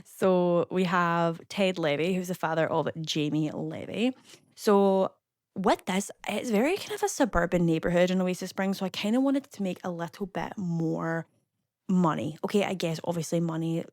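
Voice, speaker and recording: A young Scottish woman chatting casually as a voice-over, rambling with lots of fillers and quick asides. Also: on the low side, darkish tone, dry recording, clean studio sound. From Scotland. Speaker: YouTuber narrating a game build.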